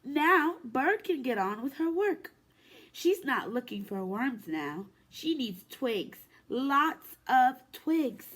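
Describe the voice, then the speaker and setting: A woman preschool teacher reading a picture book aloud to young children in an expressive, sing-song way. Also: high-pitched, takes it slowly. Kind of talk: children's story time read-aloud.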